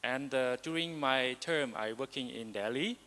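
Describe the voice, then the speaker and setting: A man's voice: medium pitch, pushed and bright. Hesitant man in echoing hall. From Cambodia. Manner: halting, many hesitations. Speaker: embassy delegate. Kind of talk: panel sharing. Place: large conference hall.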